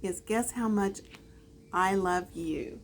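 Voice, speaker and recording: A woman reading a picture book aloud to a small child, adding drawn-out, expressive asides. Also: medium pitch, a little hiss or hum. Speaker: storyteller.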